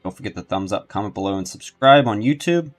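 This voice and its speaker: A low-voiced man hosts a casual game stream. He rambles and thinks out loud as he talks to viewers, with faint game music playing behind him. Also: animated, fast talker. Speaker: video game streamer and host. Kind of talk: casual livestream commentary.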